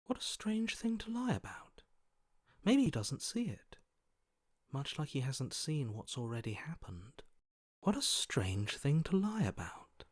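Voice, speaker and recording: A man voicing a wary, skittish prey creature for a video game, hushed and breathy, often close to whispering, with a cautious, watchful delivery. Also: a little high, sing-song, measured pace, no echo. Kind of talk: video game character acting.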